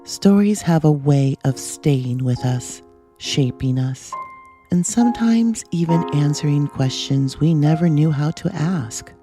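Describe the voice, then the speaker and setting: A podcast host speaking in a measured, earnest, reflective way, with scripted narration and gentle interview questions. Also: mid-range voice, animated, soft background music.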